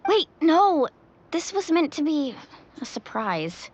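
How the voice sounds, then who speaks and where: Bright-pitched, resolute young warrior. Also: female voice, big pitch swings, slow pace, highs cut off, heavily acted. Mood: flustered, urgent. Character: resolute, caring young female warrior of a tribe. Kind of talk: anime video game voice acting.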